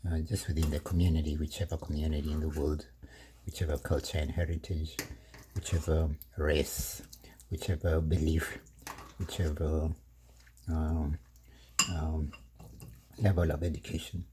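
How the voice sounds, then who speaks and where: A middle-aged man from Kenya, chatting slowly in his home kitchen while cooking, with many fillers and soft laughs. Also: a bass voice, flat delivery, noisy background. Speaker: vlogger. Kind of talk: casual cooking vlog monologue.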